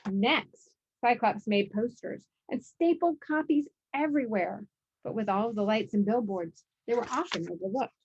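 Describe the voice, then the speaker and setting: A woman's voice: a little high, normal speed, a little mellow, slightly rough, highs cut off. A woman narrating a children's picture book aloud as its author.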